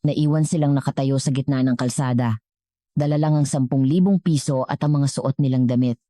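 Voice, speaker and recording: A woman's voice: a bass voice, steady tone, brisk, dark tone, no echo, studio quality. A woman narrating a scripted dramatic story as a storyteller.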